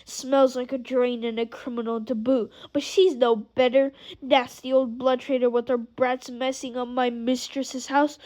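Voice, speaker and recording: A woman reading aloud and voicing a spiteful old male house elf with a hoarse, deep, croaking mutter. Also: level tone, a little slow, hardly any pauses, darkish tone. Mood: bitter muttering. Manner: resentful muttering under the breath.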